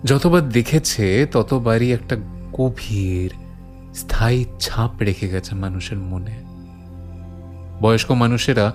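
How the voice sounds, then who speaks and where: Slow, brooding actor as a male horror storyteller. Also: mid-range voice, a little mellow. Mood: ominous. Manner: deliberate, suspenseful, with dramatic pauses. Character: brooding male storyteller recounting eerie legends to his friend. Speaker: narrator and lead character of a horror audiobook.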